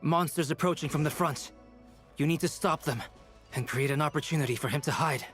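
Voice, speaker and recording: An actor playing a calm male mentor in a video game, measured and instructive in delivery. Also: fairly high pitch, natural voice. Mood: commanding. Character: calm, authoritative male mentor guiding a companion. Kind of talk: dramatic video game voice acting. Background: faint game music.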